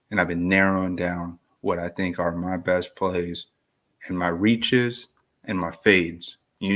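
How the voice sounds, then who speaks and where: A man who just woke up, sounding groggy, rambling in a slow, laid-back live-stream chat about fantasy football. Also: low voice, a bit bright. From Florida. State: groggy and deep-voiced from little sleep.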